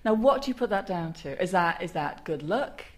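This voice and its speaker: A woman's voice: lowish voice, expressive, brisk. Woman interviewing a guest. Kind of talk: conversational celebrity interview.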